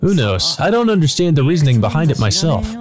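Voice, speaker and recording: A man's voice: on the high side, very animated, quick pace, over music, voice pushed up. A man voicing a flippant, mocking servant spirit in a visual novel, taunting and carefree. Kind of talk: visual novel dialogue read aloud.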